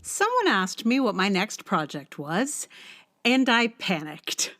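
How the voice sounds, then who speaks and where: A middle-aged woman hosting a personal podcast, speaking in an expressive, conversational way with emphasis on key words. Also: mid-range voice, slow, soft background music. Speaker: podcast host and writer. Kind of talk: personal podcast, chatting and reading own blog aloud.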